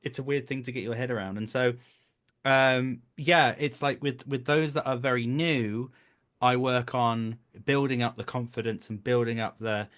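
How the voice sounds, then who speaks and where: A man's voice: mid-range voice, fairly even intonation, moderate pace, a bit bright. Earnest man explaining in conversation. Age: 30s. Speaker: voice actor, director and coach. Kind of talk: conversational interview.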